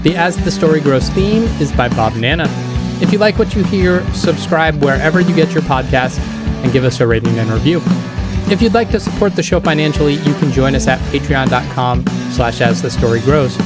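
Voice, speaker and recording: Male podcast host over loud music. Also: fairly high pitch, expressive, a little fast. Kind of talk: podcast hosting.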